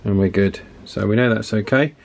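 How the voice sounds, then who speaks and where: A man's voice: fairly low pitch, normal speed, creaky, background noise. A man casually narrating a walkie-talkie repair, thinking aloud with frequent fillers and restarts. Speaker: electronics repair YouTuber.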